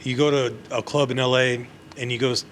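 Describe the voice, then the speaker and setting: A man's voice: medium pitch, little pitch movement, fairly quick, a bit bright. Rambling man in his forties, bar noise. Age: 40s. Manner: rambling, restarts often. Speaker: podcast guest, actor and bar owner. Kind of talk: casual chat.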